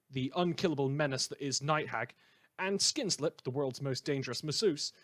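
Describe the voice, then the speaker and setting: A man's voice: on the high side, a bit bright. A man playing a chatty, blithely amoral small-town radio host who rambles and corrects himself through a satirical news broadcast. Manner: rambling, cheerfully flippant, self-correcting.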